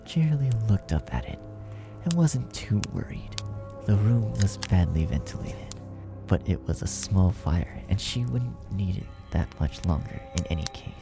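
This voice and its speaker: A man reading a dark fan fiction story aloud as scripted, dramatic narration. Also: sits low, animated, measured pace, creaky. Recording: music behind the narration. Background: music.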